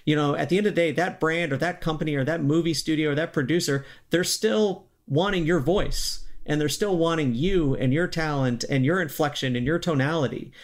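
Male interview guest, slightly high pitch, earnest explainer, conversational delivery. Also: brisk, few pauses. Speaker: podcast guest, synthetic voice company executive.

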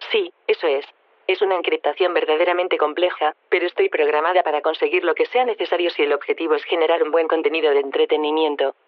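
Self-satisfied female AI, precise, literal, quick delivery, dull filtered sound. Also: deep voice, fairly even intonation, no echo. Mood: polite, matter-of-fact. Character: polite, self-satisfied female artificial intelligence storyteller. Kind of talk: fictional radio interview. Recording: dull, dry band-limited sound.

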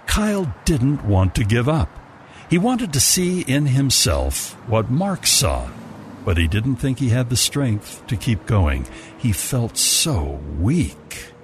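A man's voice: medium pitch, sing-song, slow pace, slight background noise. A man narrating a scripted story as a storyteller. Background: music.

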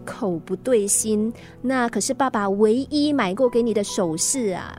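A woman's voice: on the high side, a little slow, darkish tone, soft background music, lightly acted. A woman playing a caring, perceptive teenage daughter in a radio drama, earnest and coaxing, with a Singaporean accent. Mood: teasing.

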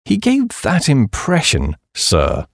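A man voicing an unflappable, deferential English valet in a comic audiobook, speaking formally and with measured understatement in a British accent. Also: on the high side, wildly swooping pitch, creaky, slightly rough, dry recording, studio quality, heavily acted.

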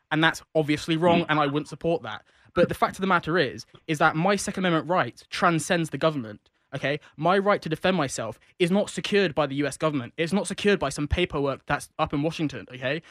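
Insistent Jamaican-accented man, fast and dry. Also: fairly high pitch, fairly bright tone, no echo. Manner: insistent, interrupts, repeats words. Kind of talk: heated radio debate.

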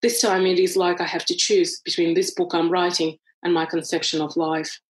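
A woman's voice: lowish voice, flat delivery, normal speed, low-quality recording. A woman with a heavy foreign accent, reading from her own book and then talking it over thoughtfully, with restarts. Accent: foreign accent in English. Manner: thoughtful, searching for words, frequent restarts. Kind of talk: reading aloud, then conversational interview.

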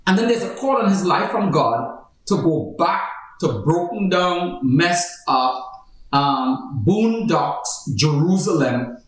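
A man's voice: a little high, expressive, slow pace. A man, a pastor and host, explaining a Bible study theme in a conversational way with playful asides. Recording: very echoey room, far from the mic.

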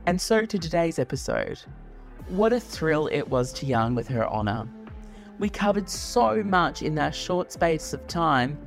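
A man hosting a podcast, earnest and enthusiastic as he introduces the show and asks questions. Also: on the high side. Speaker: podcast host.

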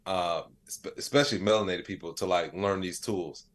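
A man's voice: low voice, normal speed. An American man chatting casually as a podcast interview guest, telling stories and voicing dialogue, with lots of "like" and "you know". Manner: animated storyteller, acts out quoted dialogue, peppers talk with "like" and "you know". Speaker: interview guest, AI educator and comedian.

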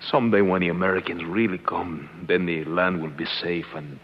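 An actor playing a loyal, anxious, injured sidekick in a 1950s radio drama. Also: male voice, sits low, steady tone, fairly quick, dull audio, lightly acted. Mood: anxious, hushed. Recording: echoey, noisy old radio recording.

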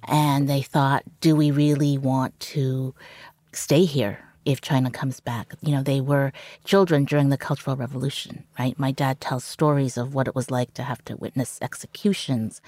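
A woman's voice: extremely low pitch, normal speed, darkish tone, dry recording. A middle-aged woman journalist from Canada, whose first language was Cantonese, talking reflectively in an interview and often saying "you know". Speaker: journalist guest. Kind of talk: interview conversation.

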